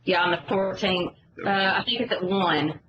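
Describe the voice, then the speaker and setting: A woman giving an informal, halting work briefing on a conference call as an education staff member. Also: on the low side. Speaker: education agency staff member leading a rollout. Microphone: conference call line. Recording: muffled conference call line, clear background noise.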